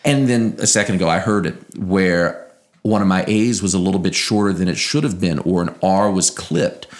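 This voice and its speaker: A man's voice: sits low, normal speed. A man in his thirties from Louisiana with an American accent and a faint Southern twang, telling stories conversationally with precise, broadcaster-like enunciation. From Louisiana, United States. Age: 30s. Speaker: interview guest, sports broadcaster. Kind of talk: conversational storytelling.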